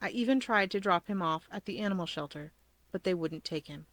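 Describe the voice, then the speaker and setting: A woman's voice: medium pitch, fairly quick, a bit bright, no echo, lightly acted. A woman voicing an earnest, melodramatic teenage boy conspiracy theorist, matter-of-fact, in a story reading. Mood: gloomy. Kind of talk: audiobook character voice.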